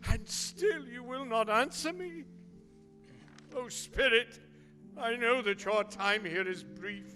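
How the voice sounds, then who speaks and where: A man playing an anguished, frightened old man who pleads haltingly with a spirit in a radio play. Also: very high voice, pushed and bright, heavily acted. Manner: pleading, halting, full of questions. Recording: long hall reverb, music behind.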